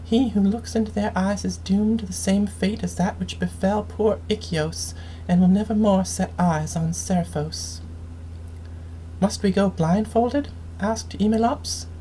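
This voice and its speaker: A woman game streamer reading story texts aloud in a measured, dramatic storytelling manner, with noticeable noise in the recording. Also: mid-range voice, warm tone, rough recording. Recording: clearly audible background noise.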